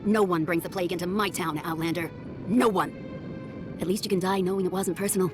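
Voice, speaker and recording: A woman's voice: lowish voice, steady tone, a little fast, over music, close to an everyday voice. A woman voicing a theatrical female bandit boss in a video game, taunting and grandiose as she threatens. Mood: menacing.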